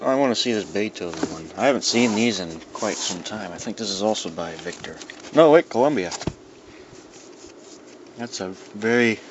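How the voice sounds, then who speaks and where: A man giving a casual, unscripted show-and-tell as a collector, rambling and musing to himself as he handles things. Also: on the low side. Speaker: record collector presenting his albums. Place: indoors in a dimly lit room. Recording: dull lo-fi sound with clear background noise.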